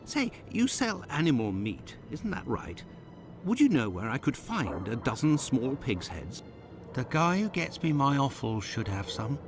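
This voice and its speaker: A sharp detective. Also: male voice, medium pitch, very animated, a little slow, over music. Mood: questioning. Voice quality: crisp, probing questions. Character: sharp, clipped adult male detective. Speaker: game character. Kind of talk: video game voice acting.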